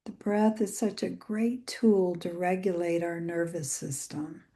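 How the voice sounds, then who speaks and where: A woman leading a guided meditation in a gentle, soothing way, with soft phrase endings that trail into breath. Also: fairly low pitch, steady tone. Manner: gentle, soothing, unhurried with long pauses.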